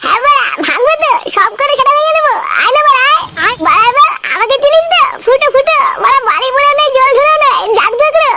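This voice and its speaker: A performer with a pitched-up voice playing a foul-mouthed, aggrieved, short-tempered village lad in a Bengali comedy cartoon. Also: animated, a little fast, few pauses, heavy background noise. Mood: drunken defiance. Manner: ranting, swearing, aggrieved.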